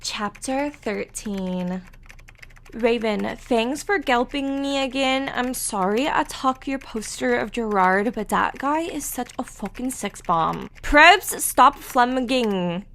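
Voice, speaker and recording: A woman voicing a ditzy, angsty teenage goth girl writing author's notes, in a slow, airy, vapid delivery. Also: a little high, expressive, fairly bright tone, a little hiss or hum, pitched above the performer's own voice, full character voice.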